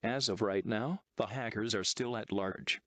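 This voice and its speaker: Low synthetic male emergency announcer. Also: fairly bright tone, no room sound. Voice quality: synthetic text-to-speech voice. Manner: formal, impersonal, measured delivery. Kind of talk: scripted emergency broadcast announcement.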